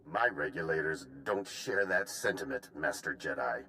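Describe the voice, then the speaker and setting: Deep, forceful mercenary commander, menacing. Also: male voice, animated, normal speed, a little hiss or hum, full character voice. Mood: threatening. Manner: threatening, sneering. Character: menacing male mercenary commander, bitter and taunting. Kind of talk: video game voice acting.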